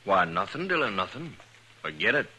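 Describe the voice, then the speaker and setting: An actor playing a defensive, threatening western tough in a 1950s radio drama, curt and folksy. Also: male voice, lowish voice, big pitch swings, normal speed, husky, heavily acted. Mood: evasive. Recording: bright, faintly noisy old radio recording.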